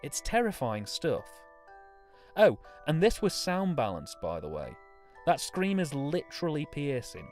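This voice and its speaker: A man's voice: a little high, wildly swooping pitch, measured pace, a little mellow, soft background music. A young adult man giving review commentary on films. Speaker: guest reviewer.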